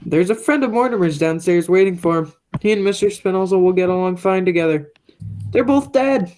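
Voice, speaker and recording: A woman's voice: lowish voice, expressive, brisk, darkish tone, faint music behind, natural voice, low-quality recording. A young woman playing a menacing, stubborn male criminal in an amateur radio play. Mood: cold, smug. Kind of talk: radio play acting, high school theatre.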